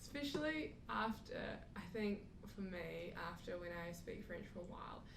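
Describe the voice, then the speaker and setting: A woman's voice: mid-range voice, lively intonation, normal speed, a bit bright. Young kiwi woman chatting away, lots of "like", roomy echo and noisy background. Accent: New Zealand. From New Zealand. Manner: chatty, laughs often, fillers like "like". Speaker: guest. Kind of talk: casual chat. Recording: echoey room with clear background noise.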